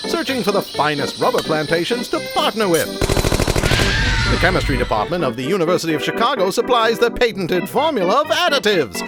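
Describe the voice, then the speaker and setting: A brash old-time radio pitchman, as the narrator puts him on, rattling off an ad at a rapid clip. His voice leaps high with salesman's zeal, over loud music. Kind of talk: 1940s radio commercial.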